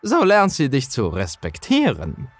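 A man voicing a sinister old man in a horror story, coaxing and menacing, with slow, drawn-out words. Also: medium pitch, huge pitch swings, voice pushed up. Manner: slow, drawn-out, wheedling delivery. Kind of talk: horror audio story character voice.

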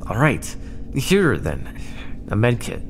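A man's voice: medium pitch, huge pitch swings, takes it slowly, slight background noise. A young man acting out an ASMR roleplay in a teasing, bantering way.

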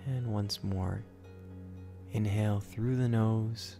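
Male meditation guide, lowish and creaky, soothing and gentle, over faint music. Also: steady tone. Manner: soothing, gentle, instructive, with pauses.

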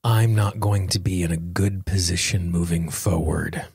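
A man hosting a self-help podcast, coaching listeners directly in measured, deliberate phrases. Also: low voice, fairly even intonation, gravelly, darkish tone, breathy edge, no echo, clean studio sound. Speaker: podcast host and tapping coach.

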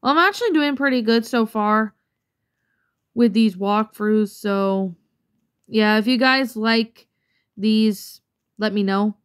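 A woman's voice: a little high, takes it slowly, pauses often, clean tone. Chatty woman gamer, a little sniffly. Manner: chatty, rambling, many fillers. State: slightly congested, sniffly. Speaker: let's play gamer. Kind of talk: casual gameplay commentary.

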